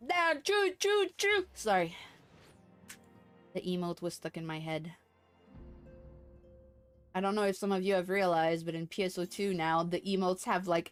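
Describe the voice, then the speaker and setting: A woman giving casual, playful live commentary as she streams a game, prone to giggling. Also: lowish voice.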